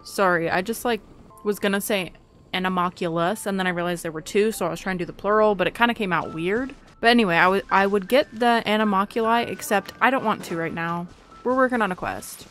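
A woman's voice: mid-range voice, brisk, a bit bright, faint music behind. Casual female let's player, young adult, mid-range voice, playful rambling self-talk. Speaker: gaming YouTuber. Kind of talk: casual let's play commentary.

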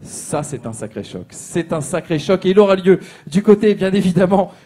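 Mellow-voiced man presenting a cup draw. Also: high voice, lively intonation, moderate pace.

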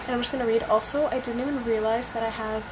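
A bubbly young woman in her twenties, with a somewhat high voice, chats casually about her day to a camera held close. She rambles with lots of fillers. A steady background hiss sits under her voice in a small bedroom. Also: fast talker. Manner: bubbly, chatty, many fillers. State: congested. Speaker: vlogger and college student. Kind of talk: casual vlog chat to camera. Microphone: camera at arm's length.

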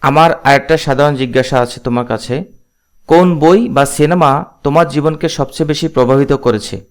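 A man's voice: on the high side, brisk, smooth. A man presenting a casual demo and asking questions.

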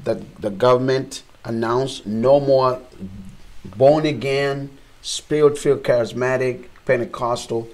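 A man's voice: mid-range voice, fairly even intonation, unhurried, a little mellow. A middle-aged man from Uganda, a missionary guest on a discussion panel, speaking earnestly and growing emphatic. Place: small indoor studio set.

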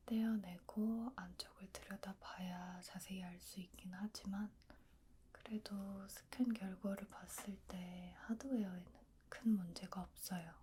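A woman speaks in a breathy, unvoiced whisper very close to the microphone. Her delivery is slow and mellow, with the gentle politeness of a technician in an ASMR roleplay. A faint hiss sits behind her voice. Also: medium pitch. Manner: gentle, polite, reassuring service talk.

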